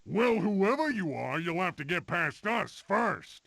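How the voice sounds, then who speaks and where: An actor playing a blustering male creature guard in an animated game. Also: high-pitched, a little slow, creaky, exaggerated voice. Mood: challenging. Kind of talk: animated video game character acting. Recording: noisy game clip rip.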